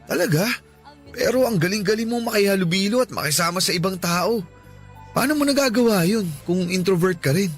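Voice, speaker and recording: A man's voice: high-pitched, lively intonation, brisk. An actor with a Filipino accent playing a shy, earnest young man in a conversational radio drama. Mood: light, admiring. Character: shy, earnest young man, introverted first-time volunteer. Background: background music.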